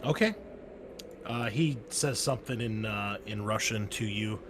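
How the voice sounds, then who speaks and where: A man narrating as game master, relaxed and full of ums and uhs. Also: on the low side, unhurried, noisy background. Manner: relaxed narration with many fillers.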